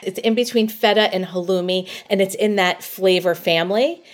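A woman's voice: medium pitch, normal speed. A middle-aged woman calling in to a podcast advice line, describing her food business in a poised, articulate way. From New Jersey. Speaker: caller. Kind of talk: call-in podcast advice segment.

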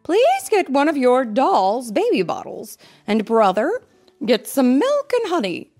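A woman's voice: high voice, huge pitch swings, a little slow, a little mellow, soft background music, pitched above the performer's own voice, exaggerated voice. A woman voicing a caring, sensible mother bear in a children's storybook, emphatic and instructive. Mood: brisk, directing.

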